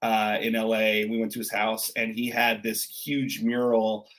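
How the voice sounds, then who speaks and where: A man who is a guest author in a casual interview, self-deprecating and quick to laugh, often repeating his words. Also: lowish voice, monotone, fairly bright tone.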